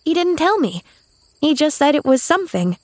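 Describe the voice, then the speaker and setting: A cheerful young girl from a storybook, acted by a woman reader. Her voice is high and earnest, with guileless, loyal chatter. The recording is close and dry. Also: sing-song, moderate pace, a little mellow, dry recording. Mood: happy, earnest. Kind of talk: audiobook character voice.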